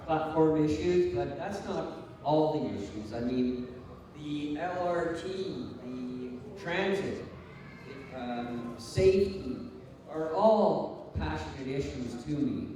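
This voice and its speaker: Male council candidate, slow and halting, mellow timbre, distant mic in a long-reverb hall. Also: on the high side, lively intonation. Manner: halting, hesitant. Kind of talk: campaign closing speech at a public debate. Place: large hall, public debate. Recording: very echoey hall, distant microphone.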